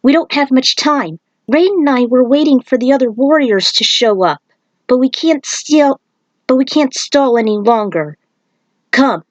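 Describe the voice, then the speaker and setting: Lady doing a shaman fighter guy, earnest and brisk, voice a bit higher, story reading. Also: lively intonation, dry recording, highs cut off. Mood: urgent.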